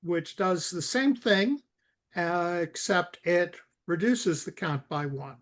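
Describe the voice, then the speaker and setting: Male coding mentor, fairly high voice, unhurried and halting delivery, informal step-by-step instruction. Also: a bit bright. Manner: halting, repeats words, spells things out. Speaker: software expert guiding a coding session.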